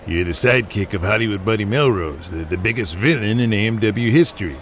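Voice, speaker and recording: A gruff old wrestling boss acted by a man with a low voice. He is stern and authoritative, a controlling promoter in an audio drama, set against clear city noise. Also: brisk, lightly acted. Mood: stern caution. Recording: noisy city ambience behind the voice.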